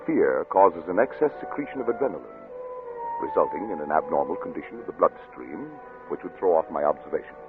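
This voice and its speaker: An actor playing an arrogant, obsessed middle-aged scientist, brisk and lecturing, in old radio drama style. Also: male voice, deep voice, expressive, music in the background. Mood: cold, confiding. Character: obsessed, arrogant male scientist, cold and impatient. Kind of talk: 1940s radio drama acting. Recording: narrow-band, noisy old radio recording.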